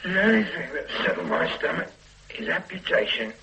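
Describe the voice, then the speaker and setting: A man's voice: fairly high pitch, huge pitch swings, fairly quick. An actor playing a hungover young man with a dry, sardonic wit, in a radio drama. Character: hungover young working man starting a new job. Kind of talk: 1970s radio drama acting. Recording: old radio recording with faint hiss.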